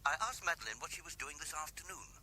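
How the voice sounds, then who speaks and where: An actor playing an anxious, well-to-do husband in a radio drama, speaking politely and insistently with a British accent. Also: male voice, on the high side, expressive, fast talker, pushed and bright, slightly rough, full character voice, rough recording. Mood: worried, confiding. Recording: 1970s radio drama broadcast.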